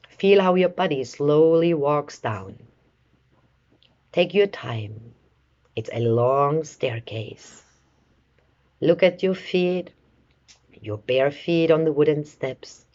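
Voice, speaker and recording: A woman leading a guided meditation in a soothing, measured way, with long pauses between short phrases. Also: sits low, a little mellow, muffled recording. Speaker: meditation guide, life coach.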